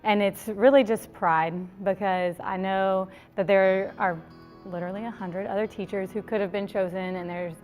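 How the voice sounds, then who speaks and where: A woman's voice: medium pitch, fairly even intonation, moderate pace, darkish tone, faint music behind. A woman teacher speaking proudly and from the heart in an interview. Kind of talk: interview testimony.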